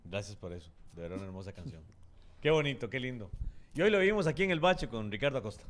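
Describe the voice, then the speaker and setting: A man co-hosting a casual talk show, appreciative and trailing off softly at the ends of sentences. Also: mid-range voice, expressive, quick pace, a little hiss or hum. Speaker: co-host. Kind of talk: casual podcast chat.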